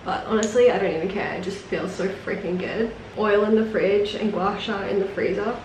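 A young Australian woman talks casually to camera as a travel vlogger. Her medium-pitched voice has a slight rasp. There is clear background noise around her, picked up on a camera mic. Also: fairly quick. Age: 20s. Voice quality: slightly raspy. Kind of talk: casual vlog chat to camera. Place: holiday apartment balcony, kitchen and seaside terrace.

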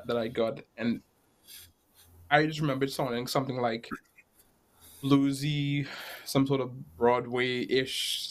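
A man, telling his story casually on a video call from a room at home. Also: mid-range voice. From Trinidad and Tobago. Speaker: guest jazz saxophonist. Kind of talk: casual storytelling in a panel interview. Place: small room at home, indoors. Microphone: laptop or webcam video call.

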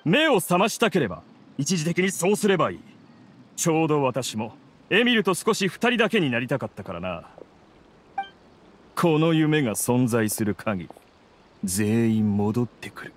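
A man's voice: on the high side, very animated, moderate pace, long pauses, slight background noise. A man voicing an arrogant, coldly defiant scholar villain in a game, with haughty, theatrical delivery. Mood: haughty, menacing. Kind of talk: scripted Japanese game voice acting.

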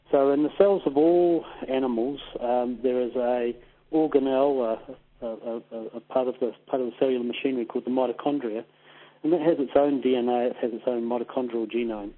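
A man with a New Zealand accent, a professor explaining things carefully and haltingly as an interview guest over the telephone. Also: mid-range voice. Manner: hesitant, careful, simplifying science. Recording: tinny phone line.

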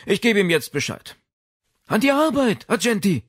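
A brusque male police inspector in an audiobook crime story, acted out by a man narrator. He is bossy and fires off clipped orders in a raised pitch. The sound is dry and close. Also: sing-song, normal speed, husky, dry recording, exaggerated voice. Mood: commanding.

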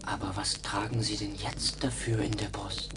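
A man's voice: fairly low pitch, little pitch movement, normal speed, natural voice. An actor playing a naive, anxious young man in a theatrical radio play, speaking with hesitant wonder. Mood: uneasy wonder. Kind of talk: German radio play acting. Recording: 1980s vinyl radio play with music.

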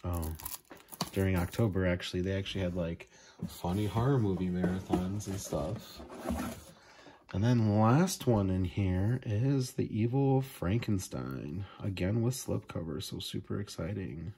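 A man's voice: deep voice, measured pace, warm tone, a little hiss or hum. A man hosting a casual unboxing vlog, enthusiastic and full of small fillers as he goes through his purchases. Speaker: video host. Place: indoors at a table, off camera.

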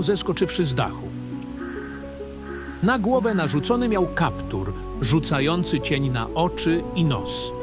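A man narrating a scripted fantasy audio drama in the first person, with a dramatic storytelling delivery. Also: fairly high pitch. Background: background music.

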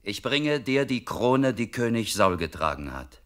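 An actor playing a loyal soldier messenger in a Bible radio play, speaking in an earnest, declamatory way. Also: male voice, lowish voice, very animated, measured pace, a bit bright. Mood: earnest, hopeful.

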